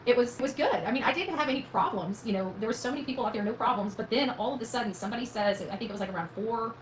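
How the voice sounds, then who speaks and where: A woman talks very quickly, as if to her followers, rambling through a personal story. Her manner is folksy and emphatic, full of colloquial turns. The room is echoey, with faint noise behind her. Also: fairly high pitch. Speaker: vlogger recounting own experience. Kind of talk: casual rambling storytelling to viewers.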